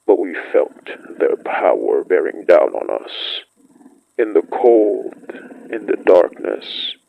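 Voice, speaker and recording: A man playing an ancient, solemn wolf-like pack elder in a horror audio drama, telling of old times in measured, poetic speech with a gravelly voice. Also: low-pitched, very animated, narrow, tinny audio, exaggerated voice. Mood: ominous, awed. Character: ancient great wolf-like beast, solemn pack elder, recalling primeval winters. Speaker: storyteller. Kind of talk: scripted dramatic monologue, horror fiction podcast.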